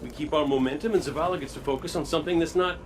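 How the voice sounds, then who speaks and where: An actor playing a chatty, upbeat sidekick in a video game, quick and excitable. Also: male voice, fairly high pitch, natural voice. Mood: eager, upbeat. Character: eager, chatty, upbeat wisecracking male sidekick. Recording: noisy game ambience behind voice.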